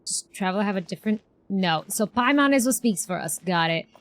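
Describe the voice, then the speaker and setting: A woman's voice: medium pitch, animated, fairly quick, slight background noise. A woman streamer giving casual live commentary as she reacts to a video game. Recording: music under the voice. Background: game music.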